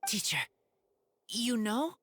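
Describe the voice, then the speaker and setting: A woman voicing an earnest feral teenage boy raised by wolves in a video game, speaking in halting, terse phrases. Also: fairly high pitch, sing-song, a bit bright, heavily acted. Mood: earnest, determined.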